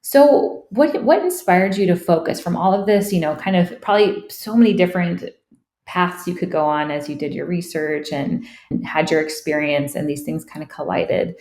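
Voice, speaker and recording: Woman host, enthusiastic, affirming, gravelly voice, echoey room. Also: fairly low pitch, moderate pace, darkish tone. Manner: enthusiastic, affirming, with many fillers. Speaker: podcast host. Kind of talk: conversational interview.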